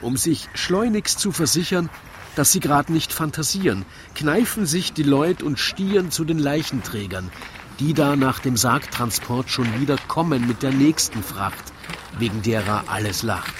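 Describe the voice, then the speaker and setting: A man's voice: mid-range voice, expressive, normal speed, slight background noise. A man narrating a comic rhymed story in a wry, theatrical storytelling manner. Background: music.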